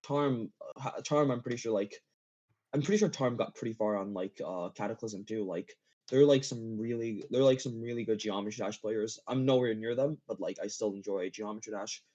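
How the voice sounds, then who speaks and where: Male gaming guest, mellow and halting, giggly, casual; muffled lo-fi voice-chat sound. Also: fairly low pitch. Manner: halting, repeats phrases, giggly. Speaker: interview guest, game map builder. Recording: dull lo-fi voice chat.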